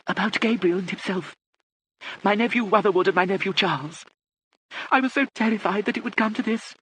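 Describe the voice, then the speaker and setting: A man's voice: high-pitched, brisk, a bit bright, slightly rough, pitched above the performer's own voice. A man voicing a frail, anxious, hard-of-hearing elderly aristocratic lady in a genteel, hesitant, fluttery manner for an audiobook. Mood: anxious, hopeful.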